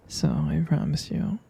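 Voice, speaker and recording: Male actor as tender boyfriend for ASMR roleplay: breathy whisper, heavy gravelly creak, gentle and hesitant. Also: fairly high pitch, full character voice. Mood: tender, reassuring. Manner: slow, gentle, hesitant, pausing and repeating. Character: tender, devoted boyfriend soothing his pregnant partner.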